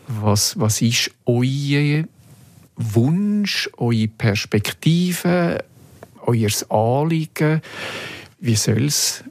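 A man's voice: medium pitch, a little mellow, dry recording. A man with a Swiss German accent hosting a conversational interview as a podcast host.

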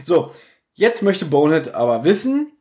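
Slightly high-voiced man, casual podcast talk. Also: very animated, moderate pace, darkish tone. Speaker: podcast co-host. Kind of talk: casual conversational audio drama review.

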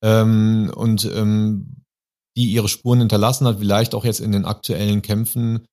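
Male interview host, lowish voice, loosely scripted moderation with wordy, winding phrasing. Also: flat delivery, moderate pace, warm tone. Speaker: podcast host. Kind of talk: semi-spontaneous interview moderation.

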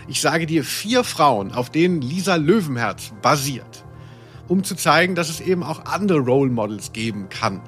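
Animated man hosting a playful podcast quiz. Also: fairly high pitch, moderate pace, faint music behind. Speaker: podcast co-host, quizmaster.

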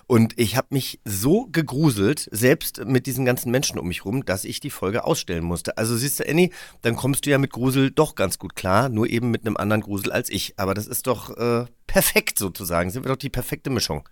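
Man, podcast host, casual conversational delivery, medium pitch, dry recording without room echo. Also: lively intonation, fairly quick, hardly any pauses. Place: at home, joining remotely.

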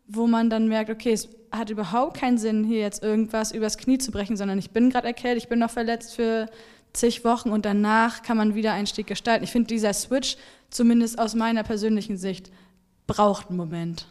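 A woman's voice: a little high, fairly even intonation, brisk, darkish tone. A young woman hosting a casual podcast conversation, chatty and asking follow-up questions. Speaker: podcast host.

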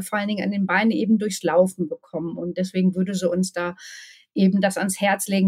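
A woman's voice: mid-range voice, darkish tone. A woman from the area, chatting as an interview guest. She laughs often and fills gaps with many hesitations. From Ruhr area, Germany. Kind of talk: casual storytelling interview.

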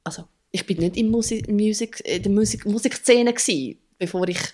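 A woman's voice: medium pitch, a little fast, warm tone. A young woman with a Swiss German accent, a drummer chatting as an interview guest, laughing often and restarting her sentences. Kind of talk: casual conversational interview.

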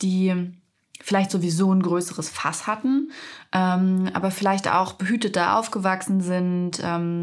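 A young woman hosting a reflective solo podcast, speaking thoughtfully with frequent pauses and soft fillers; she has a cold, so her voice is slightly stuffy and nasal. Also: lowish voice. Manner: slow, thoughtful, pausing often with soft fillers. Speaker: podcast host.

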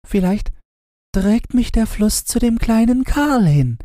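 A small storybook girl, acted by a male narrator, speaks in a very high voice. She sounds innocent, hopeful and full of wonder. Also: expressive, takes it slowly, warm tone, dry recording, clean studio sound, full character voice.

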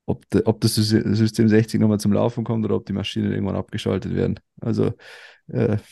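A man co-hosting a casual podcast in a heavily gravelly, creaky voice. His tone is dark and flat, and his humour is dry and gloomy. He sounds close and dry on the mic. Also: fairly low pitch, fast talker, no room sound. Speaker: podcast co-host.

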